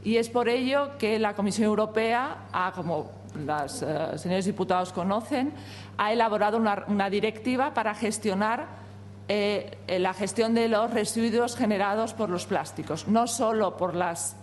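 A woman whose first language is Spanish, representing a company at a parliamentary hearing and giving formal testimony in a measured, hesitant way with frequent fillers. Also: mid-range voice, big-room sound, a little hiss or hum.

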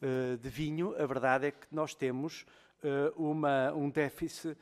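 A man speaking as a member of parliament, giving a formal speech full of filled pauses and restarts. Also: a little high, steady tone. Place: parliamentary committee room. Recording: long hall reverb.

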